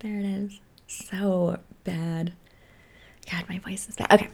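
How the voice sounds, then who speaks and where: A woman's voice: medium pitch, steady tone, takes it slowly, gravelly. A young woman talking candidly to camera, frank and profane, laughing often between lines. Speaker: sex and relationships vlogger. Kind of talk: candid casual monologue to camera.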